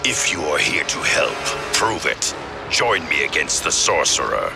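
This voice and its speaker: Gravelly, very deep warrior commander. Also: male voice, very animated, heavily acted. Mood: suspicious. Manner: stern, suspicious, measured. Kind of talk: dramatic video game acting. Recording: music behind the dialogue.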